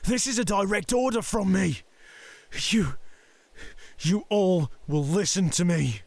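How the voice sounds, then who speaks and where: A man's voice: sits high, takes it slowly, background noise. A man playing a stern, proud Yakuza boss and conflicted father in an audio roleplay drama, speaking formally and with command. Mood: cold, commanding.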